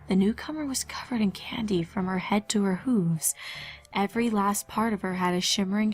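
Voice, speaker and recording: A woman reading fan fiction aloud as an expressive story narrator. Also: medium pitch.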